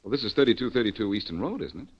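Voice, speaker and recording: Radio detective: low-voiced man, blunt and insistent, swinging intonation. Muffled vintage sound. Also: lively intonation, very fast, breathy edge. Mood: puzzled, questioning. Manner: insistent, emphatic, questioning. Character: dogged, blunt male amateur detective. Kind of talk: old-time radio drama acting.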